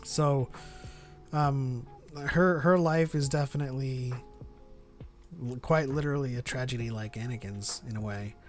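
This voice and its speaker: A man's voice: medium pitch, measured pace, faint music behind. A man hosting a fan livestream, talking casually with a group and addressing viewers. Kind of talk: casual group discussion and reading viewer chat.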